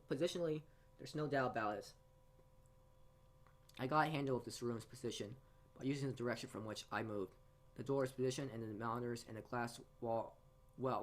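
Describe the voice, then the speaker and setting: A man narrating a visual novel aloud as a let's play host, often stumbling over words and re-reading them. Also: medium pitch. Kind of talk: reading game text aloud with asides. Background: game music.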